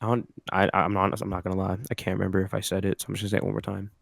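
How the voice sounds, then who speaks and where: A man's voice: low voice, completely flat delivery, rapid-fire, creaky, no echo, clean studio sound. A young man in his twenties from Southern California co-hosting a podcast, rambling casually with slang and fillers. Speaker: podcast co-host. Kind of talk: casual chat.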